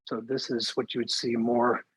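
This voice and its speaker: A man's voice: mid-range voice, level tone, moderate pace, a bit bright, husky, low-quality recording. A man casually explaining things on a video call, with hesitant restarts.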